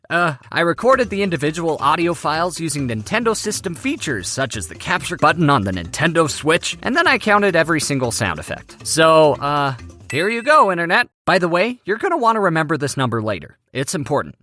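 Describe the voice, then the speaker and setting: A man's voice: on the high side, pushed and bright. A man narrating his own video project from a script, comedic, with dry self-deprecating asides. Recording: music under the voice. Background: background music.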